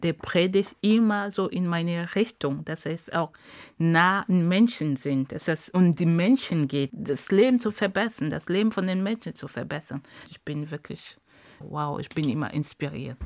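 Gravelly-voiced woman from Cameroon. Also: fairly low pitch, no room sound. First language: Bamileke. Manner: animated, searching for words, frequent laughs. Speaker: interview guest. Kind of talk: casual interview conversation.

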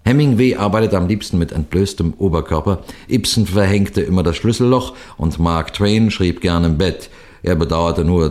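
A low-pitched male police detective, played by an actor, seasoned and assured. He addresses his listeners formally and methodically, like a lecturer in a 1960s German radio play. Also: darkish tone, natural voice. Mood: genial, erudite.

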